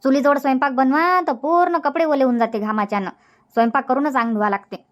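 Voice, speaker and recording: A woman's voice: high voice, fast talker, close to an everyday voice. A woman voicing a chatty young village homemaker in a cartoon comedy, speaking colloquial Marathi with a Varhadi accent. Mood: complaining.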